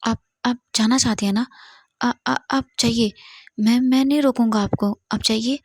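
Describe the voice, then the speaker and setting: A woman's voice: on the high side, fairly even intonation, normal speed, slightly rough, dry recording, studio quality. A woman voicing a tearful young man who stammers and repeats his words as he pleads. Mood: hushed despair. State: crying.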